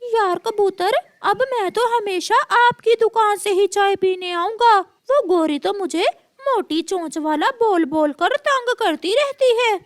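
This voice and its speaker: Cartoon parrot, male, done by a woman: shrill, raised pitch, chummy regular who loves to grumble. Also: a little slow, dry recording, studio quality. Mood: chummy grumbling. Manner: friendly, complaining. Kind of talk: cartoon voice acting.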